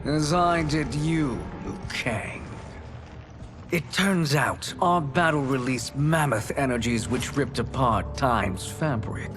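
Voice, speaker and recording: A man playing a scheming, gloating sorcerer in a video game, speaking in a smug, theatrical and deliberate way. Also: medium pitch, lively intonation. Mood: mocking. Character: scheming, gloating male sorcerer bent on conquest. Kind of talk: dramatic video game acting. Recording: loud cinematic music behind voice. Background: loud music.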